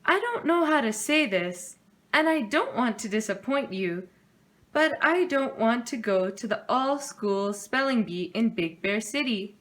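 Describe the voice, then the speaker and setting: A woman's voice: a little high, very animated, a little slow, fairly bright tone. A woman voicing a sincere young girl bear cub from a children's storybook, sweet and a little hesitant.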